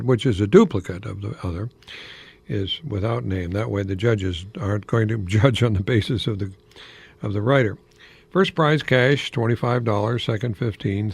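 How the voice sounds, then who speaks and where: A man's voice: on the low side, gravelly, darkish tone, no room sound. An older American man giving an informal radio monologue in a rambling, hesitant manner with chuckling asides. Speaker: radio host, college professor.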